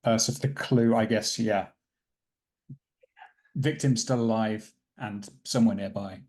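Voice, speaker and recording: A man playing a tabletop roleplaying game, thinking aloud as he narrates what his character does in a thoughtful, deliberate way. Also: lowish voice, a little mellow.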